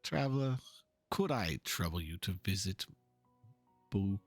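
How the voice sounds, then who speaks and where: A man playing an earnest, pompous painter in a dramatic reading of game dialogue, speaking in a florid, halting, theatrical way. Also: deep voice, lively intonation, breathy edge, no room sound, faint music behind, heavily acted. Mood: pleading, polite. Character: theatrical, earnest male painter, pompous and fervent about art.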